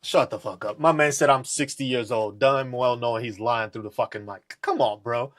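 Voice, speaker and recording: A man's voice: medium pitch, fairly even intonation, moderate pace, fairly bright tone. A man hosting a podcast, ranting in a profane, mocking and confrontational way. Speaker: podcast host. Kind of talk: live political rant.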